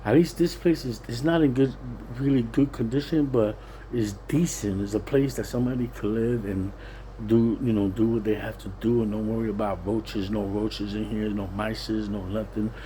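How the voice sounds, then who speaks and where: A man's voice: on the low side, steady tone, moderate pace, mellow, slight background noise, poor audio. A plain-spoken, streetwise Puerto Rican man giving a casual, rambling, repetitive monologue. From Puerto Rican, living in Pennsylvania, USA. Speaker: vlogger.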